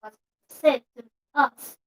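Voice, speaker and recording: A woman's voice: a little slow, fairly bright tone. A young woman with a Filipino accent, chatting casually and playfully on a vlog, laughing often and slipping into Tagalog. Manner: giggly, playful, mixes English with Tagalog. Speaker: vlogger. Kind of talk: casual chat.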